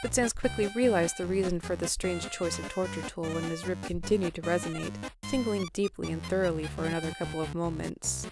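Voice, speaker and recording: A woman's voice: lowish voice, a little fast, gravelly, few pauses. A woman reading scripted story narration for an audio drama. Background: music.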